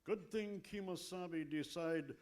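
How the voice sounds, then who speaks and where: An actor playing a loyal, reluctant cowboy sidekick who speaks halting broken English, deadpan, in a comic radio western. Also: male voice, a little high. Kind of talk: comic old-time radio western acting. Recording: faintly noisy live stage recording.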